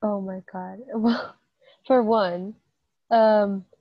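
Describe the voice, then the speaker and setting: A young woman from Hong Kong chatting casually with her sibling, animated and full of exclamations. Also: mid-range voice, drawn-out words, no echo, highs cut off. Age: late teens. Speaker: co-host, sister. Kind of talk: casual chat.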